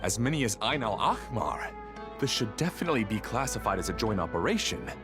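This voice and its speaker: An actor playing a nervous, deferential guard officer in a video game, eager to please. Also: male voice, fairly low pitch, a little fast, music in the background. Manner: obsequious, excitable. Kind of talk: video game character acting.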